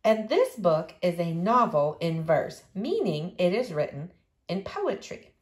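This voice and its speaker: A woman reading a novel in verse aloud in an expressive storytelling style. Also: on the low side, unhurried. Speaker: read-aloud reader.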